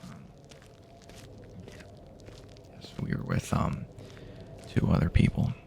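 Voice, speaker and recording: A man doing a soft-spoken, half-whispered ASMR roleplay as a wary apocalypse survivor, hesitant and rambling, often trailing off. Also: takes it slowly, slight background noise. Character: weary, wary but reassuring male survivor of an apocalypse.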